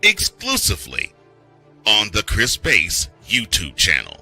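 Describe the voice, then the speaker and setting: A man reading an over-the-top comic promo in a bombastic, drawn-out announcer delivery. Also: on the low side, wildly swooping pitch, loud music behind the voice.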